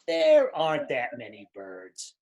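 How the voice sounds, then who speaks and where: A man's voice: mid-range voice, huge pitch swings, slow, vocal fry, darkish tone, full character voice. A man playing a demanding, fretful king in a storybook readers theater, complaining and insistent. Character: petulant, demanding king, fretful and easily enraged.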